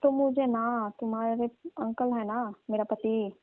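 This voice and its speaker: A woman's voice: on the high side, steady tone, unhurried, no room sound, lightly acted. A woman playing a flirtatious, pushy village aunt who coaxes and teases a younger repairman in a comic phone skit. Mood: coaxing. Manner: coaxing, teasing, colloquial. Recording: tinny, dry phone-call recording.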